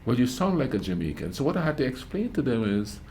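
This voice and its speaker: A man with a Grenadian accent, hosting a reaction video and telling lively stories in casual chat. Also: medium pitch, fast, slight background noise. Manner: animated storytelling with laughs.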